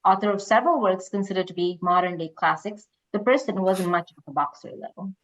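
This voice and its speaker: A woman's voice: mid-range voice, brisk, muffled recording. A woman reading quiz questions and moderating casually as host.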